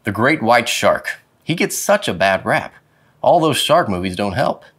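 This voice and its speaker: A man reading a children's picture book aloud with dramatic, expressive phrasing. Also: fairly low pitch, unhurried, husky. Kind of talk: expressive storybook read-aloud. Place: indoor studio with plain dark backdrop.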